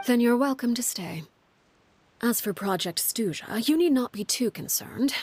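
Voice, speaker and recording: An actress playing a stern, composed female head of an assassin family in a game cutscene, speaking in a measured, authoritative way. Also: fairly high pitch, expressive, a little mellow, slight background noise. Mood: commanding. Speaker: video game character. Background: faint music.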